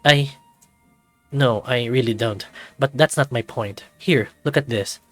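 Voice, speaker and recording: A man's voice: mid-range voice, unhurried, no room sound, lightly acted. A man voicing an earnest, easily flustered young male student in visual novel dialogue, with a defensive manner. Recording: background music under the voice.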